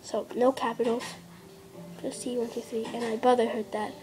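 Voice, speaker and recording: High-voiced young girl rambling over gameplay. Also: level tone, normal speed, crowd noise, poor audio. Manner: rambling, lots of "like" fillers, drops to half-whispers. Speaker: gameplay vlogger. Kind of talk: casual gameplay commentary. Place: indoors at a table. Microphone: device camera filming a handheld screen.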